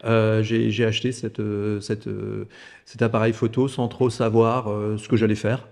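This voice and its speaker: A man's voice: on the low side, level tone, moderate pace, creaky, a little mellow, in a large hall. A man in his fifties from Alsace, a podcast guest talking casually, with many repeated words and fillers. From Alsace, France. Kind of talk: casual conversation.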